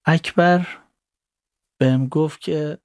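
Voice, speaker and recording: A man's voice: a little high, fairly even intonation, brisk, a little mellow, no echo, studio quality. A man from Iran with an Iranian accent, a native Persian speaker, telling a personal story as an interviewee.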